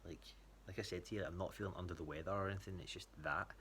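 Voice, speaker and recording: A man's voice: low-pitched, steady tone, very fast, fairly bright tone, a little hiss or hum. A Scottish man giving a casual spoken check-in, talking in a hesitant, rambling way. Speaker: athlete giving a training check-in to a coach.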